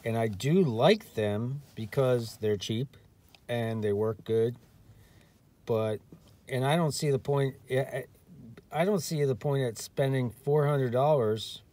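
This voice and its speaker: Guy with a lowish warm voice, just chatting loose, lots of uhs and restarts. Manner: rambling, many "uh" fillers and restarts; clears throat now and then. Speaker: amateur radio operator sharing opinions. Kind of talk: casual unscripted monologue.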